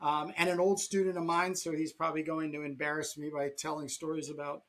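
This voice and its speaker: A man hosting a podcast about comics in casual talk, pausing mid-sentence as he goes. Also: on the high side, flat delivery, a bit bright. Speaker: podcast host and comic artist.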